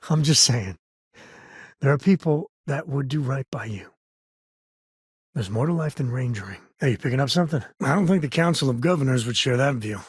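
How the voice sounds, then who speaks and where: A man voicing a rugged, wry, warm-hearted space ranger in a video game, with a folksy, conversational way of talking. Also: mid-range voice, lively intonation, moderate pace, pauses often, breathy edge, no echo, clean studio sound. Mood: wry, casual. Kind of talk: video game character dialogue.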